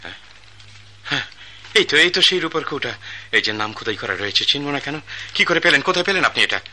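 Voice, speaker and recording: An actor playing a wealthy householder who speaks briskly in a radio drama. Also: male voice, fairly high pitch, sing-song, sharp tone, slight background noise, heavily acted. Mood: eager. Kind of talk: Bengali radio play acting.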